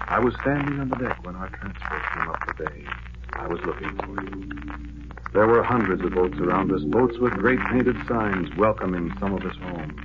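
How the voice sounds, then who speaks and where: An actor playing a weary, lovelorn war veteran, narrating in a brooding, poetic, measured way in a 1940s radio drama. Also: male voice, low voice, lively intonation. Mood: yearning. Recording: noisy, dull 1940s radio recording.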